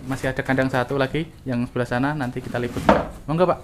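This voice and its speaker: A man's voice: mid-range voice, fairly even intonation, racing. Upbeat man vlogging at a goat farm. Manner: enthusiastic, asks short questions, addresses viewers directly. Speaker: vlog host touring a goat farm. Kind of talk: casual on-site interview. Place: outdoor wooden goat pen on a farm. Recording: noisy outdoor farm with goat bleats.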